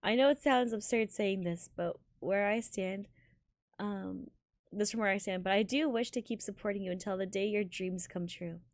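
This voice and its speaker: A woman streaming a visual novel, reading it aloud in a halting, giggly way with stumbles over words. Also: mid-range voice, no room sound, studio quality. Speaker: game streamer.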